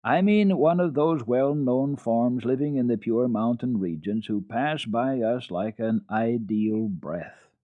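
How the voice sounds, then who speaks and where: A man's voice: mid-range voice, takes it slowly, hardly any pauses, dry recording, phone-quality sound, pitched above the performer's own voice, lightly acted. A man voicing a prim, fretful middle-aged housekeeper with a pompous, emphatic manner in a children's storybook reading. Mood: haughty.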